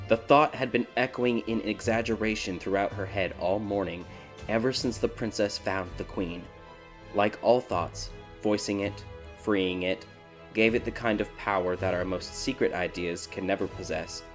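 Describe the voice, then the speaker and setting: A man reading a scripted dark fairy tale as a dramatic audiobook narrator. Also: fairly low pitch, normal speed. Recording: background music behind the voice.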